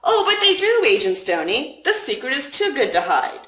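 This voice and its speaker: A woman voicing a teasing, calm male FBI agent in a story reading. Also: sits high, normal speed, voice pushed up, exaggerated voice. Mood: mischievous. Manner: playful, mischievous. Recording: roomy, echoing room sound.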